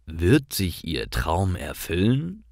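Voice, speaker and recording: Guy reading learner stories, lowish voice, really slow careful phrasing, dry close sound. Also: wildly swooping pitch, gravelly, a little mellow, dry recording. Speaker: storyteller for language learners. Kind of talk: scripted story narration for German learners.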